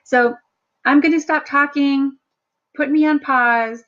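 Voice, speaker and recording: A woman instructor talking through a screen-share workshop in a chatty, self-deprecating way, with a low, somewhat monotone voice. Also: slow pace, muffled recording. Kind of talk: screen-share tutorial narration.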